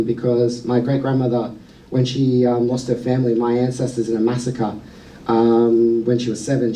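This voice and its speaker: Australian man giving a measured, earnest talk. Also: mid-range voice, warm tone, recorded from a distance, a little hiss or hum, low-quality recording. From New South Wales, Australia. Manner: earnest, measured, with frequent "um" fillers. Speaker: speaker at a museum sector event. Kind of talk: prepared public talk. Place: event venue.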